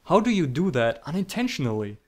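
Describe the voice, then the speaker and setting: A man's voice: fairly high pitch, very animated, quick pace. A man telling comedic scripted stories in a theatrical, sarcastic, mock-dramatic manner. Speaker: storytelling video creator.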